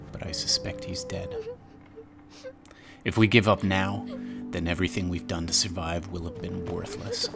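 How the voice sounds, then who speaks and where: Guy doing a somber war survivor reading out a journal entry, very low and hushed, music under it. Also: gravelly, exaggerated voice. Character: somber housemate continuing a missing friend's war journal. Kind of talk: dramatized journal narration.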